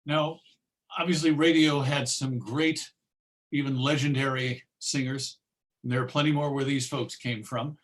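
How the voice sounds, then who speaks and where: A man's voice: medium pitch, steady tone, unhurried, noisy background. A man presenting an informal illustrated lecture in a conversational, explanatory way.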